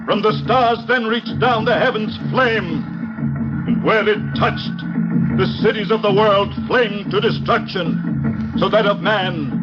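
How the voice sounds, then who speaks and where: An actor playing a grave doomsday prophet, declaiming scripture portentously in a 1950s radio drama. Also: male voice, sits high, slow pace. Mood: solemn, ominous. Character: solemn male doomsday prophet reciting scripture. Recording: dull vintage 1950s radio, loud music.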